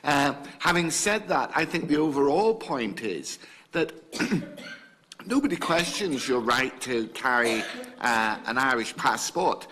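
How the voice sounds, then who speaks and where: A man's voice: medium pitch, animated, slow, bright, forceful tone, a little hiss or hum. A middle-aged man with an English accent, giving testimony to a parliamentary committee in a hedging, wry manner. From England. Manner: hedging, wry, with small laughs. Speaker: panel witness.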